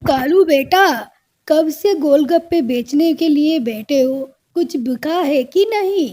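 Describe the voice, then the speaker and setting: Slow, grumbling old crow mother-in-law voiced by a woman. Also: high-pitched, expressive, dark tone. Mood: complaining. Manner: complaining, indignant. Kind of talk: cartoon story dialogue.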